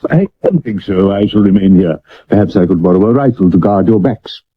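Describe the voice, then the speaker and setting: A man playing an urbane, self-assured Victorian gentleman sleuth in a period radio drama, dry and witty. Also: sits low, expressive, brisk, slightly rough. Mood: wry, assured. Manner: dry, witty, commanding, lofty. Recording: muffled 1970s medium wave radio recording.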